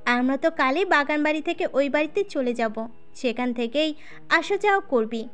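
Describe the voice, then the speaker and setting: A woman playing an affectionate, gently chiding middle-aged aunt in an audio drama, with a coaxing, motherly manner. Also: high voice, expressive, moderate pace, soft background music. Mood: concerned, coaxing. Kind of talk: Bengali audio story acting.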